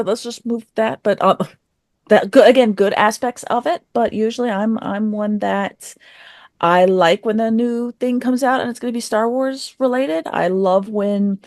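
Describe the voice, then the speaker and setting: A woman's voice: medium pitch, dry recording, clean studio sound. A woman chatting casually and informally as a guest answering questions on a podcast. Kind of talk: casual chat.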